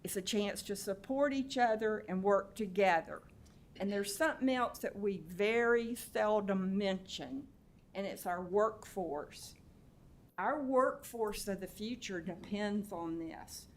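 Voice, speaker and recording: A woman's voice: mid-range voice, takes it slowly. Earnest woman rallying a board. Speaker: guest speaker. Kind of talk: public address to a board.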